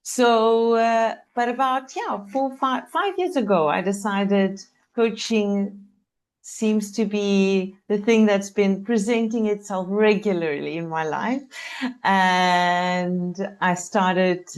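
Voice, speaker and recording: A woman's voice: mid-range voice, slow pace. A South African woman whose first language is Afrikaans, chatting as a coach guest on a video-call interview and laughing often. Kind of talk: casual interview chat.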